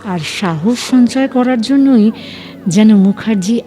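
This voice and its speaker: A woman narrating an audio story in an expressive, dramatic scripted style that builds suspense. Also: fairly high pitch, measured pace, faint music behind.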